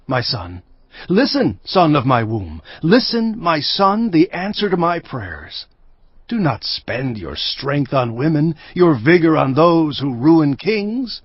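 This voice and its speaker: A man playing an earnest royal sage, reciting a parent's counsel from scripture in a deliberate, declamatory way. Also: fairly high pitch, breathy edge, no room sound, exaggerated voice. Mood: earnest, urging. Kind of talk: dramatised scripture reading. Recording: dull lo-fi audio with background music.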